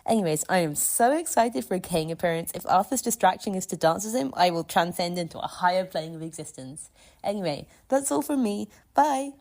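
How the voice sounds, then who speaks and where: A woman's voice: fairly low pitch, lively intonation, brisk, a little mellow. A woman delivering prepared fan commentary in an emphatic, enthusiastic way, with casual swearing. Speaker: listener sending a voice submission.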